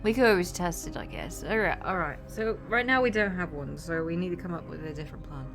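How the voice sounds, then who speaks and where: A woman's voice: on the low side, quick pace, fairly bright tone. A woman playing a tabletop roleplaying game, chatting casually and improvising in character. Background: music.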